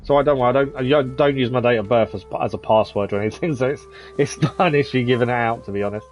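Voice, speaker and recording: A man's voice: mid-range voice, quick pace, faint music behind. Chatty man in his thirties streaming. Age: 30s. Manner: chatty, muttering at enemies, answering chat. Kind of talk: live gameplay commentary.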